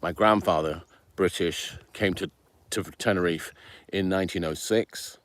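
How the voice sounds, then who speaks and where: A man's voice: low-pitched, a little slow, no echo. A middle-aged man from Venezuela telling his life story in a rambling, casual way with many ums and uhs. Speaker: channel host telling his background.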